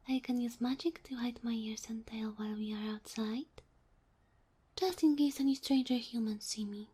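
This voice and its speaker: A woman voicing a sweet, polite kitsune familiar in an ASMR roleplay, soft and whispery, with formal, bashful phrasing. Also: a little high, husky. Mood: gentle, shy. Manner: formal, courteous, slightly bashful. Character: sweet, polite kitsune fox-girl familiar, female, ageless.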